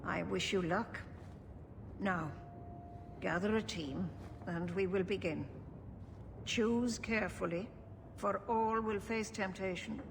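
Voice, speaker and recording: An actress playing a wise, grave elven keeper in a video game, formal and measured. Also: female voice, mid-range voice, steady tone, gravelly. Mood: urgent, stern. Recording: noisy game ambience behind.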